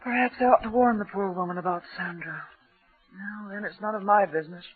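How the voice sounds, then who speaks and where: An actress playing a stern, exasperated, sharp-tongued housekeeper with a husky voice in a 1940s radio drama. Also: female voice, mid-range voice, fairly quick, faint music behind, close to an everyday voice. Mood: scolding. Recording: muffled vintage radio recording.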